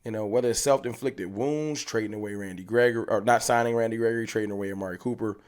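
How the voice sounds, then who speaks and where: A man's voice: on the low side, fast. Fired-up man ranting to camera. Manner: passionate, emphatic, repeats points. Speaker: fan channel host. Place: room with hats on the wall behind him.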